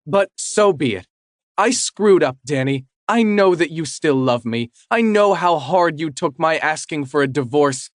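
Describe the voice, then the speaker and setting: Give me a man doing a pleading, possessive ex-wife, pitched up and teary. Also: animated, measured pace, dry recording, studio quality. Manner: tearful, clingy, then cutting.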